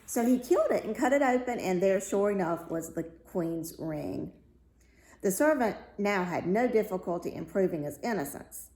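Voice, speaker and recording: A woman reading a fairy tale aloud as a storyteller, with deliberate, dramatic phrasing. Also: mid-range voice, lively intonation, darkish tone. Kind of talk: expressive read-aloud fairy tale narration. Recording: roomy, echoey room sound.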